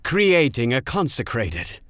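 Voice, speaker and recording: Casting: male actor as a curious meddling deity, mid-pitched, unhurried, very animated intonation. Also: dry recording, studio quality. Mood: intrigued. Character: curious, meddling god who relishes watching mortals. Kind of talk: fantasy audio drama acting.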